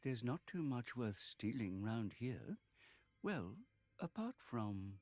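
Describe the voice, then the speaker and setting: Thoughtful seasoned male game companion: deliberate, slow, expressive mid voice, dry sound. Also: mid-range voice, no room sound. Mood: musing. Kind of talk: video game cutscene acting.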